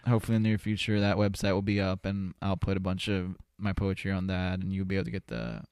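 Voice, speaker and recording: Man, lowish voice, podcast guest, hesitant rambling delivery, casual, dry close studio recording. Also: quick pace, a little mellow, dry recording. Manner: hesitant, rambling, repeats "you know". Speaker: podcast guest, spoken word poet. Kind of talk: casual conversational interview answers. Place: indoor studio.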